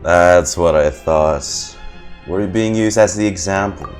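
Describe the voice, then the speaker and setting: A man's voice: low voice, measured pace, soft background music. A man streaming a video game, giving casual off-the-cuff reactions in short murmured interjections.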